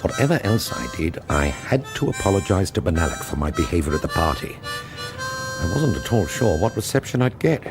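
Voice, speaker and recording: A man's voice: sits low, big pitch swings, brisk, over music. An actor playing an older, down-on-his-luck former teacher in a radio drama, hesitant and dryly ironic. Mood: wry. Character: down-on-his-luck former teacher, wry, indignant, awkward man. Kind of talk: radio drama acting and narration.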